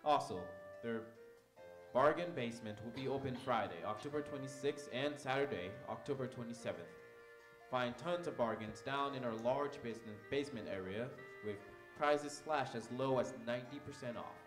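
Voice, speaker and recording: Male live-show announcer, mid-pitched scripted sponsor read, roomy stage sound with music underneath. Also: normal speed. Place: auditorium stage, live radio theatre.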